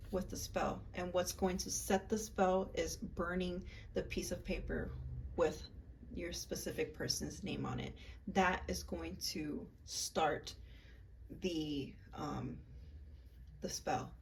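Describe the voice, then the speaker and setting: A young woman casually walking viewers through a love spell, chatty and halting, with frequent ums and likes. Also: on the low side, steady tone, vocal fry, a little mellow, slight background noise, rough recording. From United States, Puerto Rican and Peruvian family. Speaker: witchcraft vlogger.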